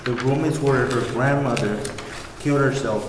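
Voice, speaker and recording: A man's voice: mid-range voice, normal speed, close to an everyday voice. A man playing a blunt, protective motel insider in a table read of a film script. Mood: guarded. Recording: echoey room, clear background noise.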